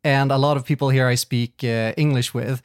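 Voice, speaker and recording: A man's voice: mid-range voice, steady tone, normal speed, clear, resonant tone, dry recording, studio quality. A man whose first language is Swedish, co-hosting a tech podcast in casual chat, rambling with lots of fillers and restarts. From Sweden. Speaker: podcast co-host.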